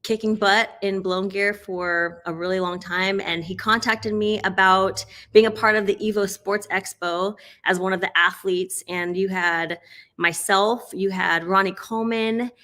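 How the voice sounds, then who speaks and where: Woman host, bright forceful tone, upbeat and laughing, casual interview chat. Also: medium pitch, steady tone, unhurried. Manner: upbeat, laughs often, fillers.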